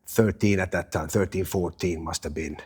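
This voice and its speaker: A man's voice: sits low, fairly even intonation, normal speed, a little mellow, breathy edge. A man in his fifties from Finland, a podcast guest chatting casually and telling stories with self-deprecating jokes and some restarts. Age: 50s. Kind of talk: casual chat.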